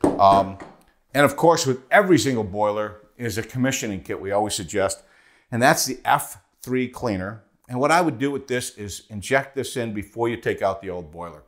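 A man in his forties explaining boiler equipment in a practical, conversational way, recorded with a lapel mic in a bright studio. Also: lowish voice, expressive, normal speed. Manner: practical, conversational, points and holds up parts. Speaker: HVAC product specialist. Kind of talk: product walkthrough video. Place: bright studio in front of a whiteboard.

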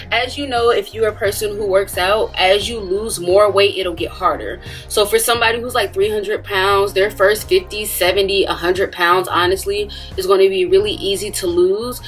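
A woman's voice: medium pitch, fairly bright tone. A young woman chatting casually to camera in a vlog, talking with her hands and laughing now and then, in a dim indoor room. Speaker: vlogger.

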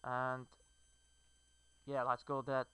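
Clear, bright-voiced Brazilian man. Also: mid-range voice, monotone, takes it slowly, dry recording. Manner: hesitant, fillers, non-native phrasing. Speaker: gamer. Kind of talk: game commentary.

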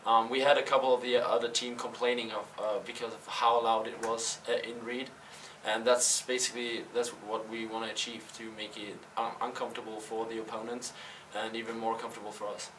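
A man's voice: medium pitch, little pitch movement, brisk, hardly any pauses, a bit bright. A young male college tennis player answering post-game questions, hesitant, with many fillers and restarts. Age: young adult. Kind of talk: post-game press interview. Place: press backdrop room. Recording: slightly distant, faint room noise.

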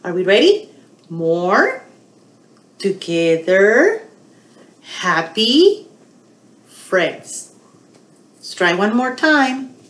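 Animated woman storytime presenter, lively pitch. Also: extremely slow, pauses often. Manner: animated, addressing young children with questions. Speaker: children's library storytime presenter. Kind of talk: storytime narration for young children. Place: small indoor room. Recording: roomy home recording.

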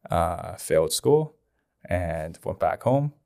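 A man's voice: sits low, expressive, slow, creaky, darkish tone. A man in his twenties from China with a Chinese accent, telling his story casually as a podcast guest on a close studio mic. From Dalian, China. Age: 20s. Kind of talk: casual personal testimony in an interview. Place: small indoor podcast studio. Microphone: close boom-arm studio microphone.

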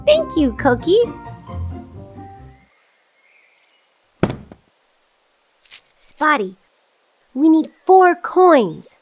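Casting: a very high-pitched, cheerful little girl for a preschool cartoon, animated, helpful, encouraging. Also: faint music behind, full character voice. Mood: cheerful, excited. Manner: slow, simple, encouraging, pausing after key words. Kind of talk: children's cartoon dialogue addressed to young viewers.

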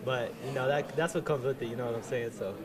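A young man, a high school football player, answering in a polite, relaxed way. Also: fast, a loud crowd.

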